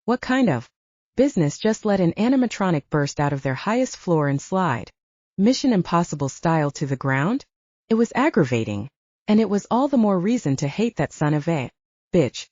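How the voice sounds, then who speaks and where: A woman's voice: fairly low pitch, sing-song, moderate pace, darkish tone, no echo, highs cut off. A woman reading a first-person audiobook story as its narrator. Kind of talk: first-person fiction narration with acted dialogue.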